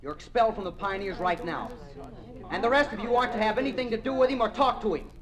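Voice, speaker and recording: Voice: mid-range voice, fast, a crowd in the background, close to an everyday voice. A performer playing a cold, scornful youth group leader and communist zealot in a 1950s radio drama, curt and officious. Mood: scornful, dogmatic.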